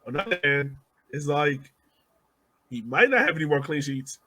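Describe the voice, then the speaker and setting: A man's voice: a little high, animated, normal speed, sharp tone, slight background noise. A man hosting a casual soccer podcast, talking informally and emphatically like a devoted fan. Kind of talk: casual sports podcast chat.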